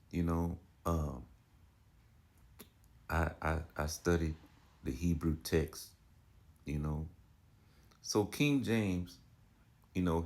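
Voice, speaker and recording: A man giving casual commentary on religious teaching, preachy and argumentative, full of "you know" and rhetorical questions. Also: low voice. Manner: repetitive, filler-heavy, rhetorical questions.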